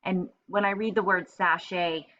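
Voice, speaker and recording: Low-voiced woman reading a storybook aloud. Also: steady tone, normal speed, pushed and bright, highs cut off. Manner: instructive, thinks aloud in simple terms. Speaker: teacher reading aloud to pupils. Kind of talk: storybook narration with explanatory asides.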